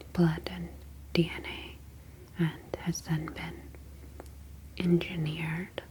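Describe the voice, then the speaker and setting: A calm, clinical alien scientist from the ocean, acted out by a woman in an ASMR roleplay. The voice is a low whisper that moves slowly and deliberately, with a soft, reassuring bedside manner. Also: low-quality recording.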